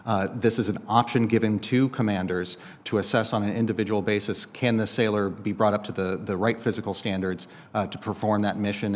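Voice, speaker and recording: An American man, a government official giving formal testimony in a hesitant, careful manner. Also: lowish voice. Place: large indoor hearing room. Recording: reverberant hearing room.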